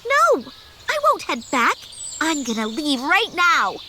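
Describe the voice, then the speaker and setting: A woman's voice: high-pitched, takes it slowly, very noisy, exaggerated voice. A woman playing a headstrong young noble girl, stubborn and devoted, in an anime-style game. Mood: playful, stubborn. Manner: animated, stubborn, emphatic.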